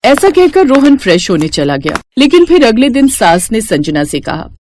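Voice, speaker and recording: A woman narrating a cartoon moral story in a steady, expressive storytelling manner. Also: fairly high pitch, moderate pace, a little hiss or hum. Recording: dry close recording with faint music.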